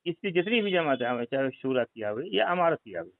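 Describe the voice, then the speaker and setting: A man's voice: on the high side, sing-song, fairly quick, no room sound. Insistent man on a tinny phone line. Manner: insistent, probing, repeats leading questions. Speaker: caller questioning a religious group member. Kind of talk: phone conversation, religious argument. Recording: tinny narrowband phone call recording.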